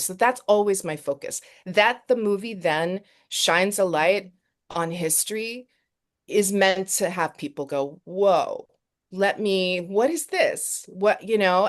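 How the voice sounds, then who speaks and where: A woman from Texas, a film director and actress, answering interview questions with quick restarts and repeated words. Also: medium pitch.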